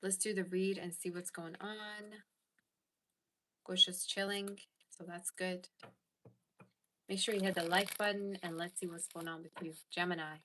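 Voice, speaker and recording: A woman's voice: lowish voice, level tone, measured pace, pauses often, pushed and bright, a little hiss or hum. A woman giving a casual tarot reading to her viewers, chatting and answering comments as she goes. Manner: chatty, reads live chat aloud. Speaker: tarot reader.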